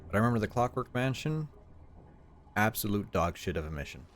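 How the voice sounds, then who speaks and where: Streamer: man with a Mexican accent, sarcastic and self-mocking, low-ish voice. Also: big pitch swings, a little fast. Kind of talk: casual gameplay commentary.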